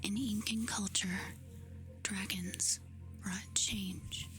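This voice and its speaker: A woman doing soft-spoken ASMR, reading facts aloud in a hushed, soothing, breathy whisper. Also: medium pitch, steady tone. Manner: slow, hushed, soothing delivery with short phrases. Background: music.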